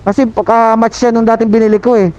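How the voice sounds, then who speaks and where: High-pitched man chatting over muffled intercom. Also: flat delivery, fast talker, darkish tone. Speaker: motorcycle vlogger testing an intercom. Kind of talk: casual chat. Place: outdoors on a moving motorcycle. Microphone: helmet intercom headset. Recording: muffled intercom audio with vehicle noise.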